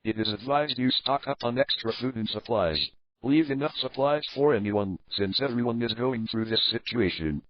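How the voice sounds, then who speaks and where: A man delivers a scripted community announcement as an announcer. His mid-pitched voice sits in a dry recording with dull, muffled audio. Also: normal speed, pauses often, no room sound.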